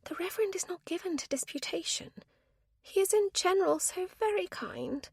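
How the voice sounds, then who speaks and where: A woman voicing a prim, nervous spinster who speaks in a formal, genteel and high-strung way. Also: normal speed, dry recording, studio quality. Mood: earnest, prim.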